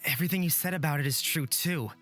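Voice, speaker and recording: An earnest, impulsive young man from a fantasy visual novel. The voice sits low and speaks sincerely with some hesitation. Music plays clearly behind. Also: quick pace, fairly bright tone. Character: earnest, impulsive exiled young man searching for his father. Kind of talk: visual novel character acting.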